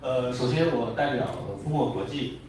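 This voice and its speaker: Mellow Chinese man in a very echoey room. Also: mid-range voice. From China. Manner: halting, hesitant delivery. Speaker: company representative, speaker. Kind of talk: formal speech. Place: large indoor hotel event room. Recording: very echoey hall, distant mic, faint noise.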